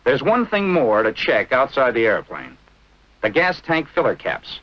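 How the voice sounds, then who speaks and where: An American man narrating a 1940s military training film in a brisk, instructive manner with clipped short phrases. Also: medium pitch, huge pitch swings. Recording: dull, band-limited vintage film soundtrack.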